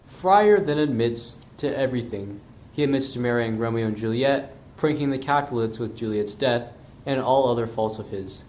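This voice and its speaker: A man's voice: medium pitch, moderate pace, darkish tone, a little hiss or hum. A man narrating an amateur puppet show retelling from a script. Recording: roomy echo.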